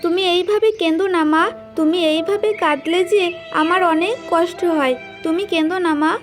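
A woman voicing the gentle ghost of a young bird in a children's cartoon fairy tale. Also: very high-pitched, fairly even intonation, normal speed, music in the background. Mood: pleading, sad.

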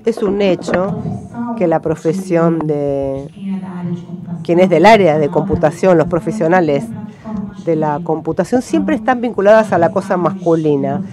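Woman doing live interpreting, lowish voice, halting, clear crowd murmur in back. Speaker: conference interpreter. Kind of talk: simultaneous interpretation.

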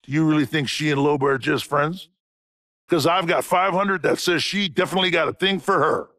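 An actor playing a friendly, gossipy big soldier in a video game, talking in a playful, eager, chatty way. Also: male voice, fairly high pitch, fairly quick, fairly bright tone, dry recording, studio quality, natural voice. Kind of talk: video game character lines.

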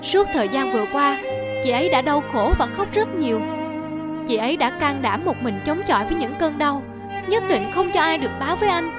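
A woman's voice: high-pitched, animated, brisk, loud music behind the voice. A woman playing a sympathetic, earnest bearer of grave news in a cartoon drama. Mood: sorrowful.

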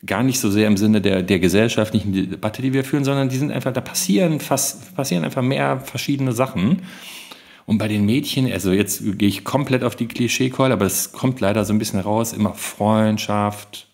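A man's voice: fairly low pitch, a little fast, gravelly, a little mellow. A man co-hosting a podcast in a casual discussion. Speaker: podcast co-host.

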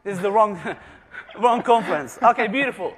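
A man's voice: sits high, normal speed. A man from Germany giving a jokey conference presentation, quizzing the live audience with questions. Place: large conference room. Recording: long reverb of a large hall.

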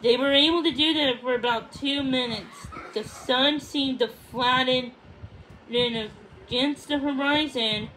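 A woman reading a children's book aloud, haltingly, stumbling over words and repeating them. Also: sits high, sharp tone, a little hiss or hum, poor audio. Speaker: storybook reader.

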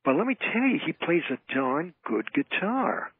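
A man's voice: fairly high pitch, very animated, moderate pace, slightly rough. An older man, a radio guest, chatting casually and repeating phrases for emphasis over a phone. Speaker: guest, entertainer and author. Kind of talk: casual interview chat. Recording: dry tinny phone line.

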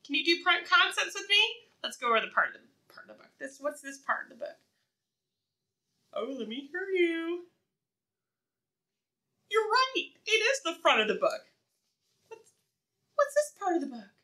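A woman with a high, bright voice teaches very young children through a read-aloud. She asks simple questions in an encouraging sing-song, leaving room for answers. Her pitch swoops widely as she goes. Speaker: preschool teacher reading aloud.

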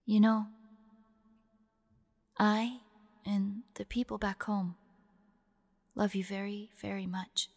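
A woman playing a tender, protective big sister spirit in an audio roleplay, speaking softly with a ghostly reverb. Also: medium pitch, level tone, slow pace, creaky, pauses often. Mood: loving farewell. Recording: long reverb on the voice.

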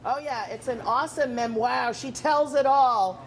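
High-voiced upbeat TV food reporter. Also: female voice, moderate pace, slight background noise, rough recording. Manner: upbeat, chatty, prompting questions. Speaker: TV food contributor. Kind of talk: live TV cooking segment. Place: commercial kitchen indoors. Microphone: lapel mic.

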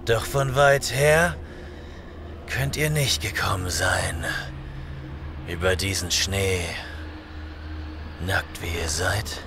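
A man acts out a young barbarian warrior, proud and battle-worn, for a fantasy audio drama. He speaks very slowly and heavily, with a gravelly creak in the voice, over noisy background effects. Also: low-pitched, heavily acted.

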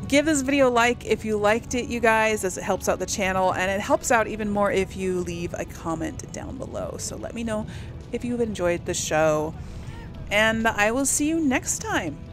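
A woman's voice: medium pitch, expressive, normal speed. A woman hosting a casual let's play, chatting and thinking aloud as she plays. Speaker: gaming channel host. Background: game music.

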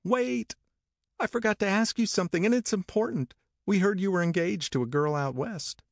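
This is a languid, teasing young woman with a lilting, breathy drawl, voiced by a man reading aloud. Her voice sits high above the narrator's own. The sound is dry and close. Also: big pitch swings, normal speed, dry recording.

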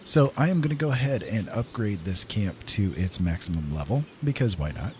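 A man's voice: lowish voice, sing-song, moderate pace, a little mellow, a little hiss or hum. A man giving casual gameplay commentary as the host of a gaming video, talking directly to viewers.

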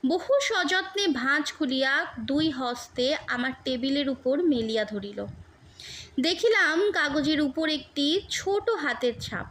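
Slow, high-voiced woman narrating a story. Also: expressive, fairly bright tone, poor audio. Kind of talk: scripted literary audiobook narration.

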